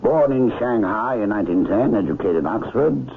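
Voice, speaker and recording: An actor playing a courteous, inquisitive doctor in a 1970s radio drama, speaking in a measured, probing way. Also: male voice, fairly low pitch, expressive, vocal fry. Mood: thoughtful, curious. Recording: muffled vintage radio recording, faint hiss.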